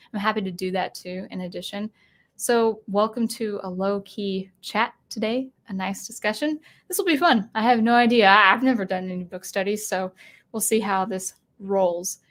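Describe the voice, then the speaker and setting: Woman chatting on her stream, mid pitch, loose and unscripted, lots of ums. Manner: off-the-cuff, rambling with fillers and restarts. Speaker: livestream host. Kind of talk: casual livestream chat.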